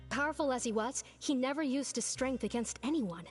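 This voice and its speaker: Slightly high, chatty game townswoman, friendly,, light score. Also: fairly quick, breathy edge. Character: young woman of a small aid network, friendly. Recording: game audio with faint music.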